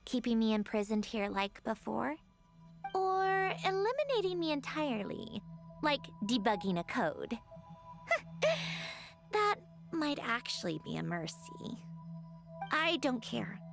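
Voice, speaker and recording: A woman's voice: fairly high pitch, sing-song, takes it slowly, long pauses, heavily acted. A woman playing a grieving, bitter young woman in a video game, wistful and self-mocking, with dramatic delivery. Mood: bitter, resigned. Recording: game dialogue under clear music.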